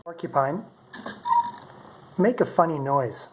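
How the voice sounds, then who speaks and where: A man's voice: on the high side, lively intonation, slow, slight background noise. A middle-aged man casually narrating a demonstration as a hobbyist maker. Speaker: maker presenting his homemade robot.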